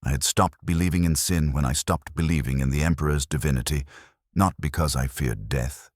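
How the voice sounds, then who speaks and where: A man's voice: a bass voice, fairly quick, no echo, studio quality. A man reading a scripted first-person dramatic story as narrator, in a detached, solemn, clipped way.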